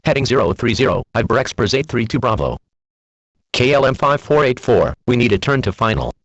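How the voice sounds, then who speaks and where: A man's voice: mid-range voice, a little fast, no echo. A man speaking clipped aviation phraseology over an air traffic radio transmission. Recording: dull, band-limited air traffic radio.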